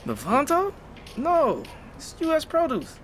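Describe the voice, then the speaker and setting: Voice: high-pitched, wildly swooping pitch, measured pace, fairly bright tone, slight background noise, exaggerated voice. An actor playing a friendly, easygoing gate worker at a distribution center, casual and chatty in an audio drama. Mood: relaxed, puzzled. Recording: clear outdoor vehicle ambience.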